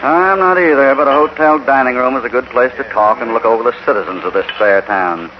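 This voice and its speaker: An American man acting a calm, shrewd, authoritative cowboy hero in a 1950s radio western. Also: mid-range voice, brisk, close to an everyday voice. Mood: calm, probing. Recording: muffled, noisy old radio broadcast.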